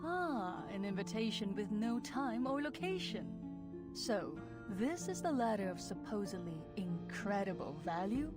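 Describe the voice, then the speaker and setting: A woman's voice: mid-range voice, big pitch swings, a little slow, over loud music. An actress playing a poised young woman who sounds skeptical and unimpressed.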